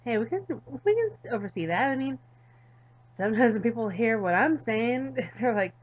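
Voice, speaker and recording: High-pitched, husky giggly woman hosting. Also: expressive, moderate pace, muffled recording. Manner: giggly, chatty, enthusiastic. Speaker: gaming channel host. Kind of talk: casual playthrough commentary.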